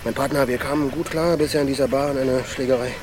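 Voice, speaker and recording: A man's voice: medium pitch, steady tone, fast talker, natural voice. An actor playing a chatty, jittery small-time ex-convict in his twenties who nervously repeats himself in a crime radio play. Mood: jittery, chummy. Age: 20s.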